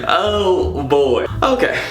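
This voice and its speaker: A man telling a story casually and comedically, in an exasperated, animated, slangy way with plenty of swearing. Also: on the high side, drawn-out words. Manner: animated, exasperated, profane, slangy with repeated "you know what I'm saying". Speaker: storytelling vlogger. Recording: echoey room with music behind.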